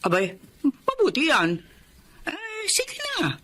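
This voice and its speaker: An older Filipino mother and grandmother in a radio drama. Her delivery swoops with motherly concern and indignation, on a slightly high voice. Faint noise sits behind her. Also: wildly swooping pitch, slow, fairly bright tone, exaggerated voice. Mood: concerned, coaxing. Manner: scolding, motherly.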